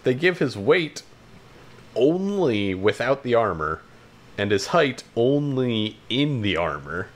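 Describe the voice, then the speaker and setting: A man's voice: mid-range voice, sing-song, takes it slowly. A man co-hosting a casual podcast about games and films, with a blunt, informal way of talking. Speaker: podcast co-host.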